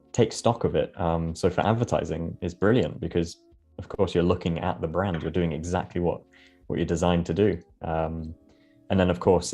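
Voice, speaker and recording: Deep-voiced chatty British host. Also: male voice, darkish tone. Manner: chatty, curious, rambling questions. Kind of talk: casual interview chat.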